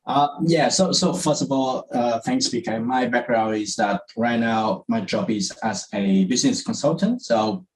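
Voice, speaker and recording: A man's voice: medium pitch, measured pace, hardly any pauses, rough recording. A man from Vietnam, a guest in an interview, who talks casually with many fillers and restarts. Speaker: interview guest, property investor.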